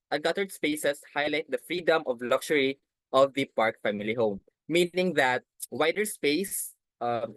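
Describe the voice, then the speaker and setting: A young man giving a class presentation, halting and restarting phrases as he explains his slides. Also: fairly high pitch, no echo, rough recording. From Philippines. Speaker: student presenter.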